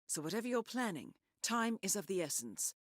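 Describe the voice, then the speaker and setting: A woman playing a composed, professional handler who briefs an assassin in a measured, formal, articulate way for a video game. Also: medium pitch, big pitch swings, no echo, studio quality, natural voice. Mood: cool, urgent. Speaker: mission briefer. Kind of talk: scripted briefing narration. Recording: loud music under the voice.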